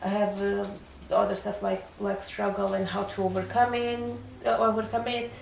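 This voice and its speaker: A woman's voice: mid-range voice, little pitch movement, normal speed, creaky, echoey room, a little hiss or hum, poor audio. A woman from Portugal with a Portuguese accent, English not her first language, chatting casually in a home vlog with many fillers and restarts. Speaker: booktuber.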